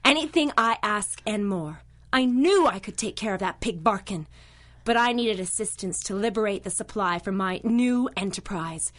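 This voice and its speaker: An actress playing a greedy, scheming villainess with a mocking, taunting manner in a radio drama. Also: female voice, mid-range voice, lively intonation, moderate pace, fairly bright tone, lightly acted. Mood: smug, taunting. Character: scheming greedy villainess peddling a plague cure, smug then desperate.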